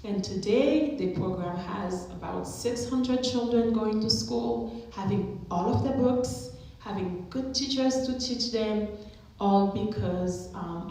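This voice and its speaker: A woman's voice: medium pitch, mellow. Woman in an echoey chapel. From Haiti. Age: 30s. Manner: earnest, encouraging, asks the listeners questions. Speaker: chapel speaker, alumna. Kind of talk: chapel talk to students. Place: school chapel.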